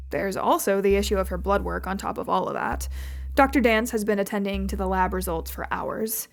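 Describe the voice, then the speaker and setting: Woman playing stuffy secret agency boss lady, precise, dry, bit condescending, lots of fry, audio drama. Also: medium pitch, close to an everyday voice. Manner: formal, clipped, precise, condescending.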